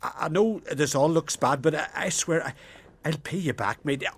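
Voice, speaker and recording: A shifty small-time con artist from a fantasy video game. His voice sits fairly high, and he stammers, flatters and pleads as he tries to wheedle his way along. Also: moderate pace, breathy edge. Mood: panicked pleading. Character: nervous wheedling small-time swindler posing as wandering juggler. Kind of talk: video game character dialogue.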